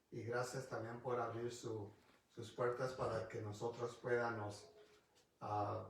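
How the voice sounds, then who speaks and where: Male pastor, English native, halting unhurried Bible teaching, heard from afar in a booming echoey room. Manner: halting, restarts often, searches for words. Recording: distant mic in a very echoey room.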